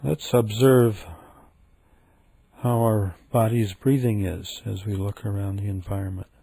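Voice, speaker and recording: A man leading a guided meditation in a gentle, calm, unhurried manner with frequent pauses. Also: on the low side, gravelly, breathy edge, no room sound.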